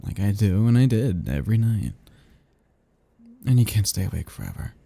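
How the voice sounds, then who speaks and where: A man acting as a husky, dark-voiced flirtatious vampire. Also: on the low side, animated, no echo. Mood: casually threatening. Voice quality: soft, husky, gravelly. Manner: halting, teasing, chuckling under menace. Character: male vampire, ancient, flirtatious and possessive, eerily casual. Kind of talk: intimate ASMR roleplay, addressing the listener.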